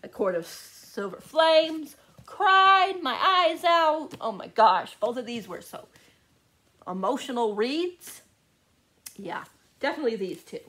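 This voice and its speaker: A woman with a fairly high voice talks in a casual, chatty vlog style. She gushes as she goes, doubling up words for emphasis and speaking straight to her viewers. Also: very animated, slow pace, bright, forceful tone. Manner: gushing, repeats words for emphasis. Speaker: book vlogger.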